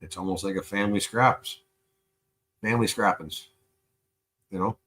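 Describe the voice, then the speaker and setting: A man from Canada streaming live, chatting loosely and profanely with lots of fillers, and he is high. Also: normal speed, fairly bright tone. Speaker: live streamer reacting to videos. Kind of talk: casual rambling stream chat.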